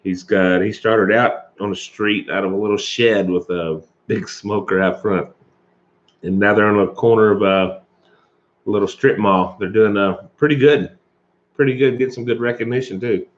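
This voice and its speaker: A man's voice: lowish voice, highs cut off. A man hosting a live stream, chatting loosely with viewers, chuckling often and coughing from allergies. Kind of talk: casual chat with live viewers.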